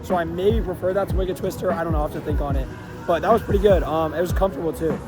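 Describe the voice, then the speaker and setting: Young guy vlogging outside at a theme park, voice kinda shot and hoarse, high-ish voice, music playing behind. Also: very fast. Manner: excitable, chatty, lots of ums and likes. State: losing his voice, hoarse. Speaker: vlogger host. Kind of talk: casual vlog walkthrough. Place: outdoors at an amusement park.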